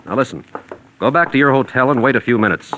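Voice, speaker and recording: An American actor playing a shrewd, self-assured private detective in a 1940s radio crime drama. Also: male voice, medium pitch, lively intonation, quick pace, fairly bright tone, a little hiss or hum, close to an everyday voice, poor audio. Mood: brisk, confident. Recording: vintage 1940s radio recording.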